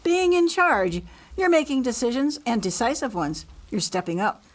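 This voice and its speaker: Elderly grande dame hostess female actor, mystery radio play, animated intonation, authoritative and disapproving. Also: on the high side, normal speed. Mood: measured. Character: imperious old grandmother, hostess of a grand house.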